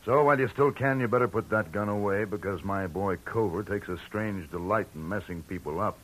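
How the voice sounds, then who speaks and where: A menacing gangster boss, played by a man, taunting in a low, falsely friendly voice. This is 1940s radio drama, heard on an old broadcast with a steady hiss. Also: brisk, close to an everyday voice, low-quality recording. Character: cocky menacing male hoodlum boss.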